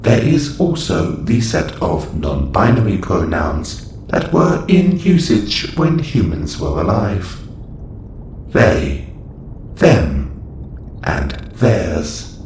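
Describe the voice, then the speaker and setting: A man's voice: low-pitched, very animated, drawn-out words, a little mellow, exaggerated voice. A man playing a formal, clinical caretaker robot in a sci-fi audio roleplay, speaking in stilted, precise phrases. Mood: clinical, instructive. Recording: roomy echo, faint background noise.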